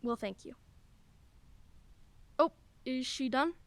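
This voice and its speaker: An actress playing a middle-aged single mother, soft and soothing, with self-deprecating humor. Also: high voice, slow pace, no echo. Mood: chatty. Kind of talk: radio play acting.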